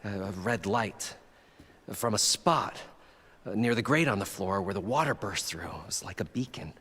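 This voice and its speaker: A man acting as an eccentric, proud inventor and salvager in a video game, with a husky voice and showy, eager delivery. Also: lowish voice, expressive, moderate pace, a bit bright, big-room sound. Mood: wondering.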